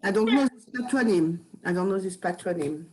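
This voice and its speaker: A woman with a French accent speaking over a video call. She talks in halting phrases, repeats herself and searches for words as she chats with her study group. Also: medium pitch, expressive, noisy background. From France. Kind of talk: casual chat on a video call.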